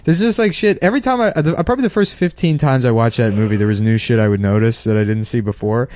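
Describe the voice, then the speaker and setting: A man's voice: medium pitch, quick pace, darkish tone, no room sound. A man co-hosting a comedy podcast, casually bantering in a blunt, defensive, profane way.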